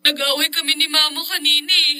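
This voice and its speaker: Piercing, high-voiced young Filipina girlfriend. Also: fairly even intonation, quick pace, bright, forceful tone, faint music behind. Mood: tearful. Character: hurt, reproachful young girlfriend pleading with her boyfriend. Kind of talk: Filipino radio drama acting.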